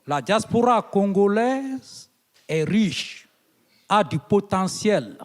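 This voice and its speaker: A man's voice: sits high, very animated, slow pace. A man from Guinea giving an invited conference speech, building to emphatic appeals. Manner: oratorical, rising to emphatic appeals. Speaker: invited guest speaker. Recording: long hall reverb.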